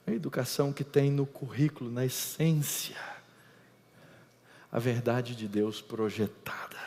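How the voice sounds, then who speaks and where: A middle-aged Brazilian man with a Brazilian Portuguese accent, preaching an emphatic lecture-style sermon that swings between loud and hushed. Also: fairly high pitch. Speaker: pastor. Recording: reverberant hall.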